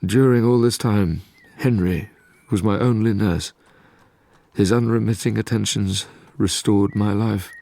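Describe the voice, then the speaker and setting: An actor playing a haunted young gentleman scientist, narrating his past gravely in an audio drama. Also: fairly low pitch, fairly even intonation, no echo. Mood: sombre recollection. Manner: grave, literary, measured.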